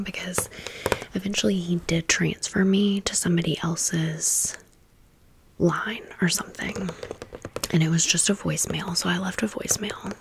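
Female ASMR host, creaky breathy whisper, lowish, rambling storyteller manner. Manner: chatty, rambling, wry.

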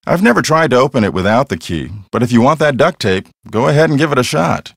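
A man's voice: mid-range voice, sing-song, quick pace, vocal fry, breathy edge, no echo, clean studio sound, exaggerated voice. An actor playing an earnest, self-assured male ghost hunter in a mystery video game, explaining things in a matter-of-fact, conversational way. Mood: smug, casual. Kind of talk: acted video game dialogue.

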